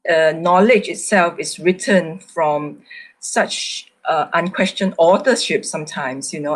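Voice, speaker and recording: A woman, an artist moderating a conversational group discussion, speaking tentatively with frequent small verbal asides. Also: on the low side. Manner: tentative, repeats "you know".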